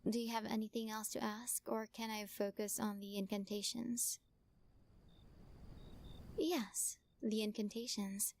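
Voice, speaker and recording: A woman voicing a sweetly possessive witch in an audio roleplay, talking softly and teasingly and often dropping into whispers. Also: mid-range voice, flat delivery, measured pace, long pauses, slight background noise, lightly acted. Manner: soft, teasing, coaxing, slips into whispers.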